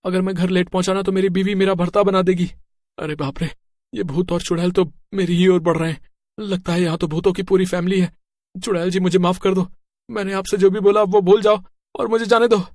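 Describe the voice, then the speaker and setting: A man's voice: high-pitched, fairly even intonation, a little fast, a little mellow, no room sound, clean studio sound, natural voice. A man playing a cocky, easily rattled biker in a horror story, talking colloquially. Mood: terrified, pleading. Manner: colloquial, stammers when flustered. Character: chatty, cocky married male biker, easily terrified. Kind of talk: horror story character dialogue.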